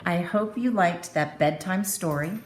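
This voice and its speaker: A woman's voice: on the low side, measured pace. A woman reading a children's bedtime story aloud expressively, stretching key phrases with dramatic emphasis.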